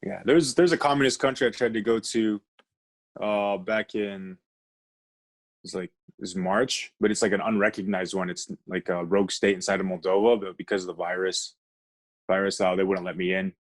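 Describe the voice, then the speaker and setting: An American man telling stories casually as a podcast guest, halting and often dropping in "like". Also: sits low, fairly even intonation. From United States. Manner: halting, peppered with "like". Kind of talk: casual conversational storytelling.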